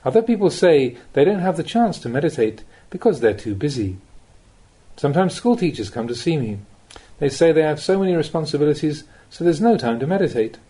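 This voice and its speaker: A man reading a spiritual teaching aloud as an audiobook, with measured, deliberate phrasing and pauses. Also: fairly high pitch, lively intonation, dark tone, slightly rough. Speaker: Buddhist monk reading recorded teachings. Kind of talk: audiobook reading of a Dhamma talk.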